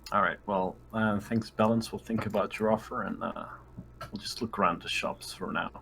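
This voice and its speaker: A man's voice: on the low side, steady tone, normal speed, gravelly, fairly bright tone, a little hiss or hum. A man playing a tabletop roleplaying game, chatting casually in and out of character.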